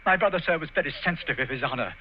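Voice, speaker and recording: A man's voice: on the high side, racing, a little hiss or hum, natural voice. A man playing a grief-stricken colonel in a 1940s radio drama, shaken and trailing off. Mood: grieving. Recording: dull, lo-fi old radio recording.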